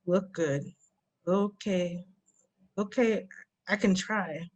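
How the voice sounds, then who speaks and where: A woman's voice: fairly low pitch, takes it slowly, dull audio, slight background noise. A woman playing an anxious, hesitant orc sidekick in a fantasy comedy table read. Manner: fretful, hesitant.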